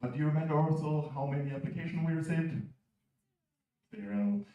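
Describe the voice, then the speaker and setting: A man's voice: fairly high pitch, gravelly. A man with an Italian accent, presenting a new mascot to an assembly. He jokes around and stumbles over fillers. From Italy. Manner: joking, halting with many fillers. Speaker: association president presenting a mascot. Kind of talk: stage announcement at an assembly. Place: large conference hall. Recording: echoey hall, distant mic, dull sound.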